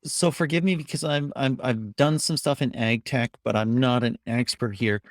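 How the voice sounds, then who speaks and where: A man's voice: medium pitch, moderate pace, no echo, studio quality. A middle-aged man hosting a tech show, asking curious, conversational questions from his home office over a video call.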